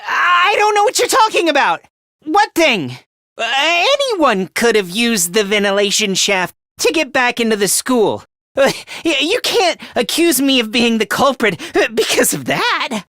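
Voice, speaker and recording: A timid, self-loathing schoolboy from a video game. The voice sits very high and swings widely in pitch. He stammers and hesitates, always on the defensive, in a dry recording. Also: sing-song, a little slow, pushed and bright, no echo, heavily acted. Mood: defensive, flustered. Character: nervous, self-loathing, cornered teenage schoolboy. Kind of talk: English video game voice acting.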